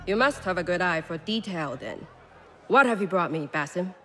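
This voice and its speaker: An actor playing a proud, refined, ruthless self-made treasurer, speaking in a poised and deliberate way. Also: medium pitch, lively intonation. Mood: poised, appraising. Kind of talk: dramatic game cutscene dialogue. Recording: loud score behind.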